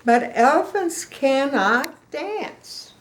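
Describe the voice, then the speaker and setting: A woman's voice: high-pitched, expressive, very slow, a little hiss or hum, voice pushed up, exaggerated voice. A woman voicing an earnest, unsure but willing boy elephant, speaking in simple, emphatic declarations for a children's picture book. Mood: doubtful, insistent. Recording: echoey room.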